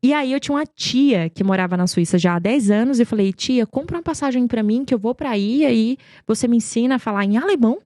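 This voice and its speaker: A woman's voice: on the high side, expressive, fast talker, hardly any pauses, warm tone, no room sound, studio quality. A woman tells stories as an interview guest, talkative and emphatic, often posing rhetorical questions. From Goiânia, Brazil. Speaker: podcast guest, marketing executive. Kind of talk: conversational interview storytelling.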